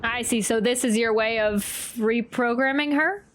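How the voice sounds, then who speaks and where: A woman's voice: a little high, moderate pace. A woman streamer reacting casually as she plays a game, often stammering and repeating her words. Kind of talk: casual live playthrough reaction. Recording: game music behind.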